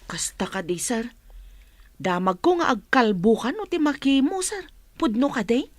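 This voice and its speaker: A woman's voice: a little high, lively intonation, a little slow, no room sound. A woman playing a naive, nosy household helper in an Ilocano comedy radio drama, with an Ilocano accent. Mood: naively curious.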